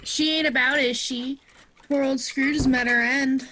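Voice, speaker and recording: A woman plays a tart, gossiping working-class thief in a radio play. Her voice is fairly high and colloquial, on a faintly noisy home call recording with clicks. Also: fairly even intonation, a little slow, pushed and bright.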